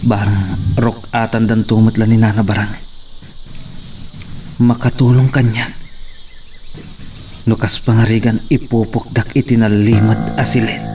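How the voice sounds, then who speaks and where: A man's voice: fairly low pitch, level tone, unhurried, long pauses, far from the mic, background noise, natural voice. A man playing an anguished, brooding wounded man in an Ilocano drama, with a Filipino Ilocano accent. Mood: troubled, brooding. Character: anguished wounded man, dreading what may come. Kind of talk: Ilocano radio-style drama acting.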